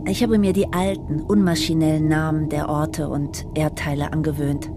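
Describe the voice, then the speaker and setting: A woman's voice: deep voice, little pitch movement, normal speed, over music. A woman playing a servile, eager and apologetic airship stewardess in a radio play, polite and flustered. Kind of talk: German radio play dialogue.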